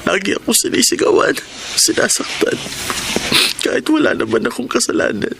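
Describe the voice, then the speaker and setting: An actor playing a meek, mistreated young son in a radio drama, hesitant, polite and pleading. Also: male voice, extremely high pitch, lively intonation, normal speed, creaky, noisy background, full character voice. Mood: sad, hurt.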